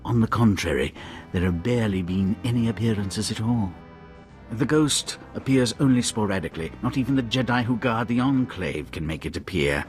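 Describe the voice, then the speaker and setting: An actor playing an obsequious, scheming Sith informant in a video game, unctuous, hesitant and self-deprecating. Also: male voice, lowish voice, lively intonation, normal speed, faint music behind, natural voice. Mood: sly, conspiratorial.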